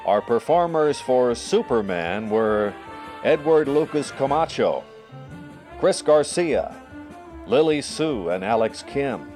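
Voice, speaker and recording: A man's voice: medium pitch, lively intonation, slow, darkish tone. A man hosting a radio program, reading a scripted sign-off. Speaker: host and faculty advisor. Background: music.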